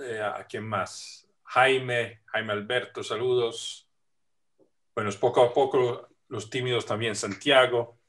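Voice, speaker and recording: A man from Italy with an Italian accent, speaking as a guest on a video call in a warm, reflective way with frequent pauses. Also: mid-range voice. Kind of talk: casual video-call interview.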